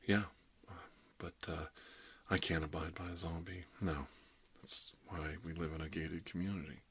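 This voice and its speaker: Man playing a friendly ASMR dentist, deep voice, crisp bright edge, hesitant rambling, half-whispered asides, conversational roleplay. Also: fairly even intonation, normal speed, exaggerated voice. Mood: awkward, defensive. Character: chatty, friendly emergency dentist treating monsters, mildly bigoted. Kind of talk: ASMR roleplay, improvised one-sided conversation.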